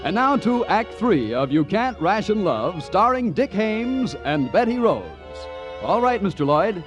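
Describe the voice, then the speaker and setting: A man's voice: sits high, very animated, a little slow, music in the background. A man announcing for a 1940s radio show in a genial, persuasive manner.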